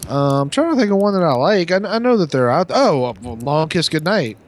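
A man's voice: fairly high pitch, big pitch swings, a little fast. A man co-hosting a casual podcast, talking movies in a blunt, opinionated, profane way. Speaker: podcast co-host.

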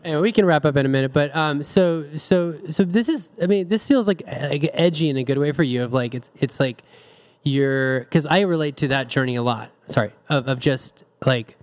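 A man's voice: a little high, normal speed, few pauses. A man hosting a casual podcast discussion, reflective and rambling with frequent restarts. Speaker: podcast host.

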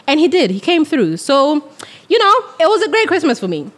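A young woman from England co-hosting a casual podcast, frank and animated, often laughing as she tells stories. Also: high-pitched, brisk. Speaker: podcast co-host. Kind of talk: casual chat.

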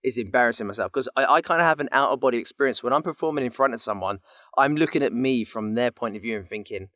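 Guy with a medium pitch voice, casual chat, puts himself down, repeats words, very dry sound. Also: fast talker, a bit bright, no room sound. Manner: self-deprecating, repeats words, seeks agreement. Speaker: voice actor, guest.

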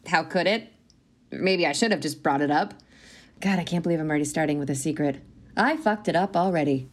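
A woman's voice: sits low, brisk. A woman in her thirties playing an anxious, self-doubting new schoolteacher with a wry edge, in a naturalistic audio drama. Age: 30s. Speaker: actor in an audio play.

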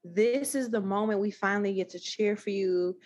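A woman with a thick Texas accent, talking as a guest in a casual podcast conversation, animated and emphatic with frequent laughter. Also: medium pitch, normal speed. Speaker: guest, new scholar.

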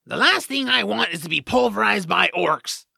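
A man's voice: high voice, lively intonation, moderate pace, creaky, bright, forceful tone, slightly rough, no room sound, studio quality, full character voice. An actor playing a reluctant, complaining young male hero in a fantasy audio drama. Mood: exasperated, anxious. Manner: complaining, exasperated.

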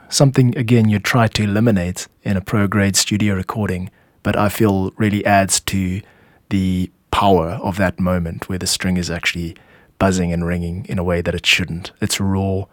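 Low-voiced man explaining music, close and dry. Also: darkish tone, no room sound. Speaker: podcast host and composer explaining own music. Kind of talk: explanatory music podcast narration.

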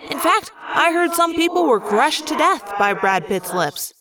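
A woman's voice: high voice, big pitch swings, a little slow, noisy background, voice pushed up. A woman voicing a sarcastic teenage boy in an audiobook, melodramatic, mocking and teasing. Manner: exaggerated, mocking. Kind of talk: audiobook character voice.

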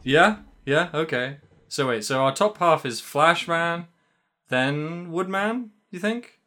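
A man's voice: a little high, expressive, takes it slowly, sharp tone. A man co-hosting a podcast, chatting casually in a rambling, hesitant way with lots of fillers and restarts. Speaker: podcast co-host. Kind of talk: casual chat.

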